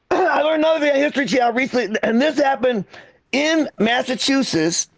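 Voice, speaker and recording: A man from Michigan performs stand-up in a very high-pitched voice with a gravelly edge. He is conversational and foul-mouthed, often restarting his phrases, and the sound is dry and close. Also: animated, fairly quick, no echo. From Michigan, United States. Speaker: stand-up comedian. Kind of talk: stand-up comedy routine.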